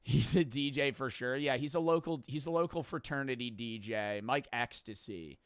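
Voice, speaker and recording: A man reacting to a video with excitable, joking commentary, swearing as he riffs. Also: mid-range voice, moderate pace, smooth, dry recording. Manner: excitable, swearing, riffing jokes.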